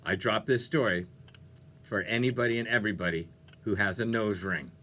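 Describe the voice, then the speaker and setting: A man's voice: on the low side, a little fast, bright, forceful tone. A man hosting a music radio show with upbeat, playful, conversational DJ patter. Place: radio studio.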